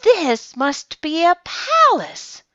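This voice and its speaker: A woman's voice: medium pitch, big pitch swings, unhurried, pushed and bright, smooth, dry recording, highs cut off. A woman voicing a small, innocent male toy bear in a storybook, full of wistful wonder. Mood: awed. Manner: wide-eyed, wistful exclamations.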